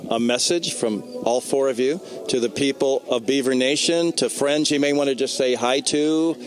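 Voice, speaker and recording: A man's voice: mid-range voice, moderate pace, poor audio. A man hosting a sports podcast, asking athletes questions in a conversational way at an outdoor event stage. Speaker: podcast host. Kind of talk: interviewing athletes. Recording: loud music behind. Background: music in background.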